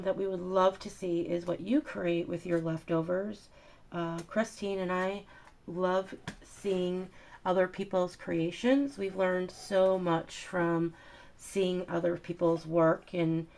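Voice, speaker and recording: A woman's voice: fairly low pitch, darkish tone. A woman with a stuffy, nasal voice from a cold, chatting through a craft tutorial with lots of ums and uhs. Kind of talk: casual step-by-step craft demonstration.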